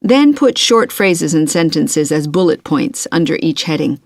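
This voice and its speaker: A helpful university tutor with a slightly low voice. She explains things in a measured, instructive way, as in a scripted exam dialogue. The recording is dry. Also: animated, no room sound, exaggerated voice. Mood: helpful, advising. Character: helpful university tutor, woman, advising a student. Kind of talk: scripted listening-test dialogue.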